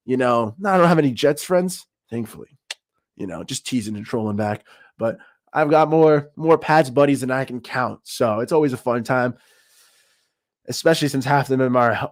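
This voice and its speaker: A man's voice: fairly high pitch, no echo, studio quality. Hyped man trash-talking on a stream. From Peru. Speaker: podcast host. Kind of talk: live-stream sports talk.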